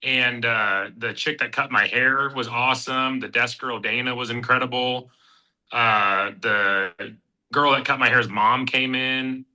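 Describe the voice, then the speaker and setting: A man in his thirties from Kansas City talks loosely as an interview guest. His voice is very bright and ringing at a middling pitch, and he rambles through fillers and restarts. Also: fairly even intonation, unhurried, rough recording. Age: 30s. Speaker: guest, bare-knuckle fighter. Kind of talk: casual interview chat.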